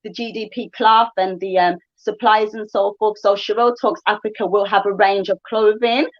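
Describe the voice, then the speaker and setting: A woman's voice: medium pitch, fairly even intonation, normal speed, muffled recording. A woman, a livestream guest, talks casually over a remote call, with lots of fillers and asides. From England. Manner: chatty, frequent fillers and asides.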